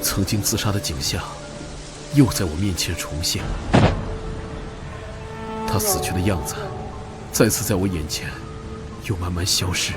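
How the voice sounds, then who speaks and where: An actor playing a desperate, haunted young porter in an animated drama, with a pleading and hesitant delivery. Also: male voice, low voice, a little slow, pauses often, loud music behind the voice. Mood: haunted grief.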